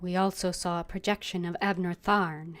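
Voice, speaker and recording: Lower-pitched woman streaming a game. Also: steady tone, moderate pace.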